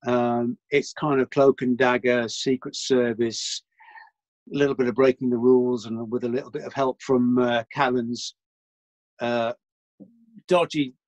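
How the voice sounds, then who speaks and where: A man's voice: mid-range voice, level tone, unhurried, dry recording, rough recording. Man, dry close sound, thoughtful. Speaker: actor being interviewed. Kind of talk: casual panel interview. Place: home, remote lockdown recording.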